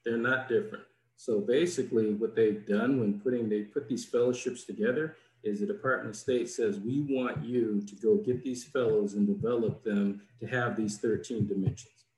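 A man, a diplomat guest, answering questions in a plain, measured, explanatory way over a video call. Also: on the low side, darkish tone. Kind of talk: informative answers in an interview. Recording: roomy echo.

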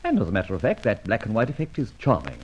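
An actor in a vintage radio drama playing a suave, teasing gentleman thief, speaking in wordy, mock-pompous theatrical banter. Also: on the low side, huge pitch swings, quick pace, slightly rough, full character voice. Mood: smooth, teasing. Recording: dull, muffled old radio recording.

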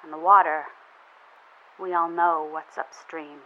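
A woman's voice: low-pitched, steady tone, unhurried, lo-fi sound, a little hiss or hum. A woman playing a grim, defiant activist making a grave, urgent appeal from a ravaged Earth in an audio drama. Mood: bitter.

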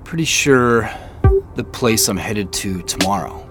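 An edgy, suspicious male investigator in a naturalistic audio drama. His delivery is dry and guarded, yet the pitch moves around a lot. Music plays clearly underneath. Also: animated, a little slow. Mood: tired, subdued. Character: suspicious, on-edge man investigating a mystery.

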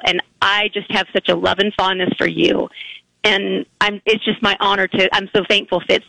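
A hoarse grandmother-aged woman speaking over a phone line, with a bright, forward tone. She talks earnestly and warmly, stopping and restarting her sentences as she goes. The sound is dry and close. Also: mid-range voice, dry recording. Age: older. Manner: earnest, emotional, halting with restarts. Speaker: caller. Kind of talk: heartfelt testimonial on a call-in radio show.

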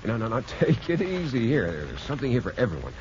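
A man's voice: medium pitch, wildly swooping pitch, quick pace. An actor playing a troubled, doting father with a bitter streak in a 1950s radio drama. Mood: indulgent. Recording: dull, hissy old radio recording.

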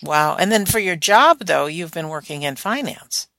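Low-voiced woman radio host, slightly creaky. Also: lively intonation, normal speed, bright, forceful tone, no echo. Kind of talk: interview show hosting with scripted intro.

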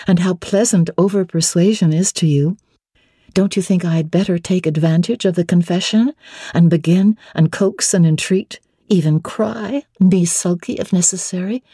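Spirited young heroine of classic storybook, acted by a woman reader: mellow, husky, forthright, playfully defiant. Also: fairly low pitch, dry recording, clean studio sound. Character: spirited, frank young woman, teasing bride-to-be. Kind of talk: dramatic classic novel reading.

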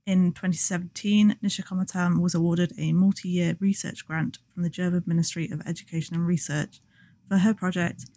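A woman co-hosting a podcast, reading prepared introductions in a measured, articulate, bookish way. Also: on the low side, fairly even intonation, mellow. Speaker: podcast co-host, academic. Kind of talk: scripted podcast narration and introductions.

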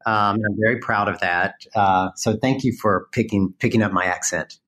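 A man's voice: fairly low pitch, fairly even intonation, moderate pace, a bit bright. A man from North Carolina with a Southern accent, talking earnestly as an interview guest. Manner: earnest, thoughtful, many fillers. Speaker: guest, farm business owner. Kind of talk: conversational interview.

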